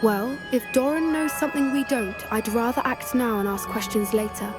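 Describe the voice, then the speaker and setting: A woman's voice: on the high side, normal speed, lightly acted. A woman voicing an earnest, anxious teenage witch in a video game, polite and a little hesitant. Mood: curious, thoughtful. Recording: music behind the voice. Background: music.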